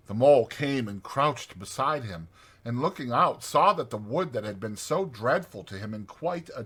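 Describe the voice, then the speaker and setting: A man reads a storybook aloud as narrator. His phrasing is measured and dramatic, with animated pitch. The voice is crisp-edged and forward, with some huskiness. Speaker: audiobook narrator.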